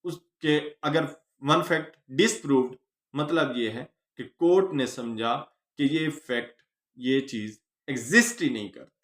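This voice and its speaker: A man with a Pakistani accent giving an explanatory law lecture, teaching phrase by phrase with pauses. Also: medium pitch, a bit bright. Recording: echoey room.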